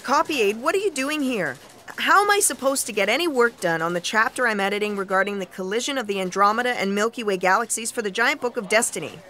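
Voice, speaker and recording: A woman's voice: a little high, animated, quick pace, pushed and bright, slight background noise. A woman playing an imperious, businesslike boss in a sci-fi audio comedy drama. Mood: irritated. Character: imperious female boss editing the book of destiny.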